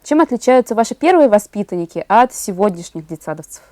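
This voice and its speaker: Woman host for a sit-down interview, mellow timbre, medium pitch, very dry close sound. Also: big pitch swings, fast talker, dry recording. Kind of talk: prepared interview questions.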